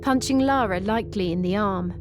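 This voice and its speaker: A woman's voice: mid-range voice, normal speed, music in the background. A woman reading scripted story narration as the narrator.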